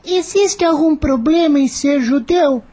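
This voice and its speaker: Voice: sits high, unhurried, warm tone. A performer playing a shy, thoughtful seven-year-old boy in a radio play, earnest and full of questions. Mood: earnest, troubled.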